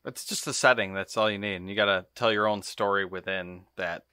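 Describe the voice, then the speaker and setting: A man co-hosting a podcast in casual chat, talking in a halting, searching way. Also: on the low side, steady tone, pushed and bright, smooth, no echo, clean studio sound. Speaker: podcast co-host.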